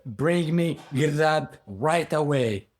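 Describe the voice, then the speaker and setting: Imperious scheming king played by a male storyteller, high voice, commanding, non-native English, oral folk tale. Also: lively intonation, takes it slowly. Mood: shocked, demanding. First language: non-native English speaker. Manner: imperious, questioning.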